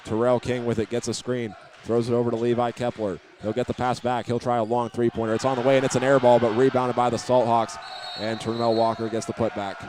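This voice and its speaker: A man doing live play-by-play commentary of a high school basketball game as the announcer. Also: flat delivery, fast. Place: indoor high school gymnasium. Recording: noisy gym ambience behind.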